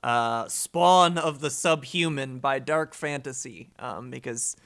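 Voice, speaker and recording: A man's voice: mid-range voice, slow, creaky, a bit bright. A man hosting a live stream in a casual, informal way, often thinking aloud. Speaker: host of a radio listening stream.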